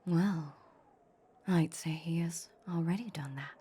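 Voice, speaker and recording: Female vampire played by a woman, possessive and teasing; unhurried, dark breathy tone, intimate audio drama. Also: fairly low pitch. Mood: taunting, possessive. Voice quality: breathy, often half-whispered. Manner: slow, teasing, condescending purr. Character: seductive, possessive female vampire, teasing her human captive. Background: soft ambient sound effects.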